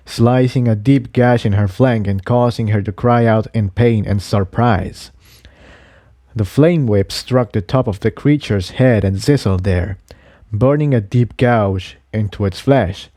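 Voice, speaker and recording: A man's voice: mid-range voice, dark tone, no echo, studio quality. A man reading an adventure story aloud as scripted narration. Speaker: audiobook reader of fan fiction.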